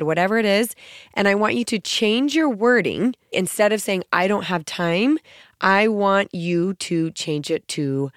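A woman's voice: fairly low pitch, no room sound, studio quality. Stuffy-nosed, scratchy-voiced woman podcaster. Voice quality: scratchy, gruff. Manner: earnest, encouraging. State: stuffy nose from a cold. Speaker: podcast host. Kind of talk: motivational podcast talk.

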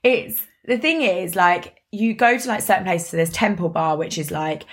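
A woman co-hosting a casual podcast chat, her voice husky, talking in a chatty way with lots of fillers and laughs. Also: lowish voice, moderate pace. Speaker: podcast co-host.

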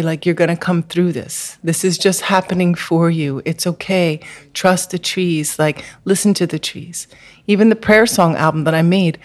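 A woman's voice: fairly low pitch, a little mellow. A woman being interviewed as a therapist, telling a personal story in an earnest, candid, spiritual way, with many "like" and "um" fillers. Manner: frequent "like" and "um" fillers. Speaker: guest therapist.

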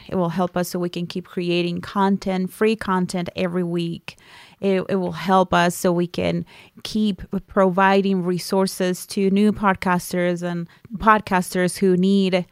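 A woman's voice: fairly low pitch, no room sound, studio quality. A Colombian woman with a Spanish accent, co-hosting a podcast in an earnest, appealing way. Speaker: podcast co-host. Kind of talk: casual podcast chat.

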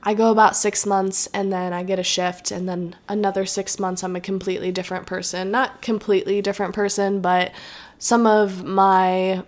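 A young woman talks through her solo podcast in a loose, rambling and reflective way. Her voice is a little nasal from allergies and sits at an ordinary middle pitch. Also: little pitch movement, normal speed. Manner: chatty, rambling, self-reflective. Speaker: podcast host. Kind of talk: casual solo podcast chat.

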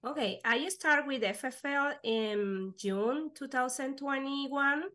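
A young woman whose first language is Spanish, talking earnestly in halting English with fillers as an interview guest. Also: sits high, sharp tone. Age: young adult. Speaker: guest, life insurance agent. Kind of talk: casual interview chat.

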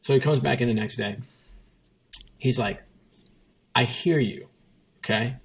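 Guy with a rough gravelly voice, bit breathy, chatty exec telling a story, hammers his points over and over. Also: mid-range voice, measured pace. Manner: emphatic, repetitive, quotes himself and others. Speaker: former CEO, guest. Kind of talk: casual interview storytelling.

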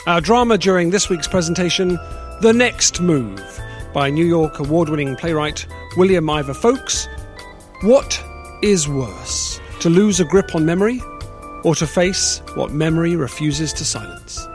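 A man's voice: on the high side, expressive, normal speed, soft background music. A man announcing a radio drama in a scripted introduction.

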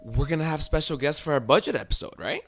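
Animated young man with a bright gravelly voice. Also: on the high side, quick pace, slight background noise. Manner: animated, stumbling restarts, joking. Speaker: podcast co-host. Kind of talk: casual chat.

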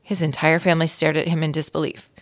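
A woman guest reader giving expressive narration of a children's storybook. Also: low-pitched, fast talker.

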